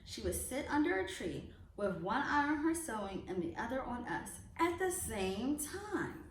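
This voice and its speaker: High-voiced woman reading a storybook, echoey room. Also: sing-song, a little slow. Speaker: storybook reader for children. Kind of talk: read-aloud of a children's picture book. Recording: echoey room, distant mic, faint noise.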